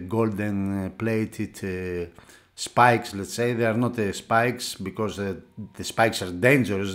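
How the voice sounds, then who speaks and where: A man with a fairly low voice talks through a hands-on product demonstration. He is a non-native English speaker and speaks haltingly, often stopping to search for the next word. The delivery is unscripted reviewer commentary. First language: not English. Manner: halting, searching for words, with frequent hesitations. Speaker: product reviewer for an audio magazine.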